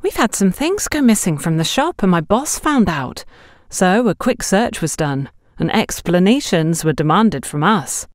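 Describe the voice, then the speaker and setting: A woman's voice: fairly low pitch, big pitch swings, measured pace, no echo, studio quality, voice pushed up. A woman voicing a young saleswoman in an audiobook, chatty, candid and emphatic. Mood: matter-of-fact. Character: young shop saleswoman, candid, animated, gossipy.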